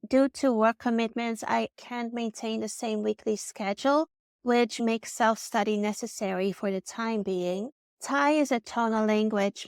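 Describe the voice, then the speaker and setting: A woman's voice: a little high, clear, resonant tone, no room sound, clean studio sound. A woman from the Netherlands with a Dutch accent, narrating a personal vlog in an earnest, reflective way. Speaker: vlogger.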